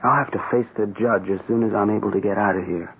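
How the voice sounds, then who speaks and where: An actor playing an earnest wounded police sergeant recovering in a radio drama. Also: male voice, fairly low pitch, steady tone, fast talker, lightly acted. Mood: troubled. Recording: tinny band-limited radio recording, faint noise.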